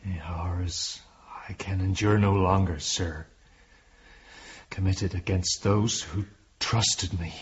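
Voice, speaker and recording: Husky, tormented, guilt-ridden man. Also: sits low, fairly even intonation, muffled recording, exaggerated voice. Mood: anguished, remorseful. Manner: slow, intense, probing. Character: tormented guilty man questioning a priest, bitter and remorseful. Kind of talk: radio drama dialogue.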